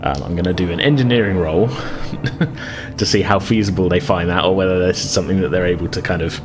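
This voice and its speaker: A man's voice: deep voice, a little fast. A man narrating casually as game master, informal and explanatory, feeling his way through sentences. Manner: hesitant, informal, explanatory, chuckling asides. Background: background music.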